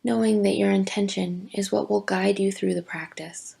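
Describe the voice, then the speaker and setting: A woman teaching yoga nidra, giving gentle, deliberate guided meditation instructions with long pauses. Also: medium pitch, steady tone, creaky, breathy edge. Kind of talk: slow guided meditation narration.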